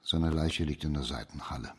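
A man playing an authoritative, shrewd judge in a radio crime drama, speaking formal in a measured, commanding way. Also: extremely low pitch, a bit bright, breathy edge, exaggerated voice. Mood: grave, businesslike. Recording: reverberant hall.

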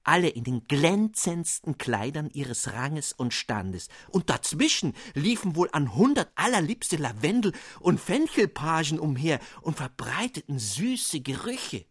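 A man narrating a fairy tale in a measured, expressive way. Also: fairly high pitch, slightly rough, no room sound, studio quality.

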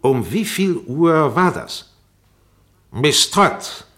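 An actor playing a sharp, sarcastic defense barrister in a radio courtroom drama, pressing, insinuating and commanding. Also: male voice, fairly high pitch, sing-song, extremely slow, heavily acted. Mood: accusing, forceful. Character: sharp, sarcastic male defense barrister cross-examining a witness.

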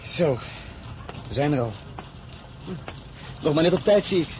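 An actor playing a brisk young man calling out as he hurries to a coach in a radio drama. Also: a little high, animated, darkish tone, a little hiss or hum.

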